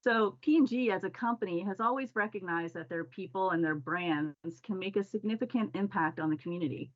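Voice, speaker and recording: A woman's voice: mid-range voice, brisk, muffled recording. A woman hosting a panel discussion, reading formal introductions and questions. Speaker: panel moderator.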